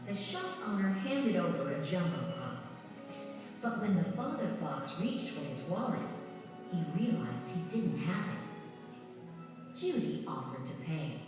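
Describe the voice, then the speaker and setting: Echoey woman narrating a children's storybook. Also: fairly low pitch. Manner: measured, expressive storytelling. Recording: very echoey, distant, music behind. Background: music.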